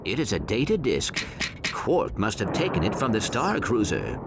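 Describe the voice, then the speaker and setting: A man voicing a small, earnest, brainy robot sidekick with a precise, formal, measured manner in a video game cutscene. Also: fairly low pitch, wildly swooping pitch, full character voice. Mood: matter-of-fact. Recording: clearly noisy game audio with ambience.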